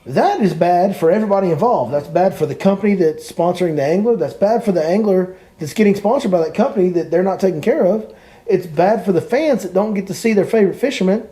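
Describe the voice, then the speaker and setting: Male podcast host, warm mellow tone, slightly high, ranting emphatically. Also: expressive, quick pace. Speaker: podcast host and fishing guide. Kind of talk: opinionated podcast chat.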